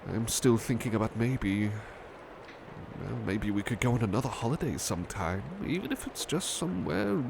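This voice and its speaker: A man playing a gentle, bashful butler turned into a cuddly plush doll in an ASMR roleplay, speaking tenderly and politely with hesitant pauses. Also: on the low side, expressive, gravelly, noisy background. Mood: hesitant, hopeful. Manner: hesitant, polite, tender, halting with soft repetitions. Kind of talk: ASMR roleplay, soothing personal attention.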